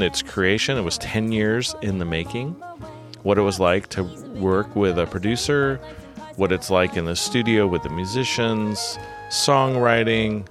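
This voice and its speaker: A man hosting a podcast, chatting in a friendly way with frequent fillers. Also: deep voice. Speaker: podcast host. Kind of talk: casual interview chat. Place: home in Oregon.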